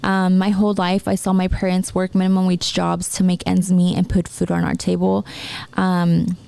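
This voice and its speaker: A woman of twenty-three, raised in the United States, talking casually and haltingly in a personal podcast monologue. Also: lowish voice, completely flat delivery, vocal fry, mellow, clear, resonant tone. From born in Mexico, raised in the United States. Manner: halting, restarts her sentences repeatedly. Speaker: podcast host and entrepreneur.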